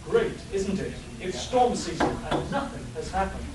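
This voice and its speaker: A man's voice: sits high, big pitch swings, moderate pace. A man playing a laid-back, jaded retired storm chaser in a comic radio play, with a flippant manner. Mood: breezy, flippant. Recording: echoey noisy hall, distant mic.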